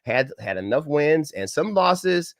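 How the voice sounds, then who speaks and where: A man's voice: on the high side, very animated, unhurried, no echo, clean studio sound. Upbeat middle-aged man with a head cold. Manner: upbeat, folksy, preacher-like greetings. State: has a head cold, slightly crackly and nasal. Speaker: government contracting trainer. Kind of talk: live-stream training talk. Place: home setup in Dallas.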